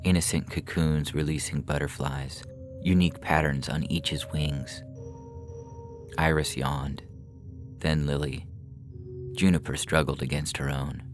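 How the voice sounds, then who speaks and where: A man's voice: a bass voice, fairly even intonation, a little slow, pauses often. A man reading a short story in a scripted, dramatic narrating style, as on a fiction podcast. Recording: music behind the voice. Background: music.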